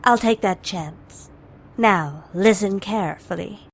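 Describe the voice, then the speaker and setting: A woman's voice: medium pitch, slow pace, gravelly. A woman playing a sly, seductive, coolly self-serving cat burglar in an audio drama, teasing and guarded. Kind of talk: fan audio drama acting. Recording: faint ambient noise behind voice.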